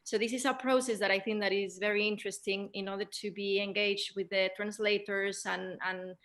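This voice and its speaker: A woman moderating a post-show theatre Q&A as host, speaking in halting, repetitive phrases. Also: mid-range voice, flat delivery, a bit bright.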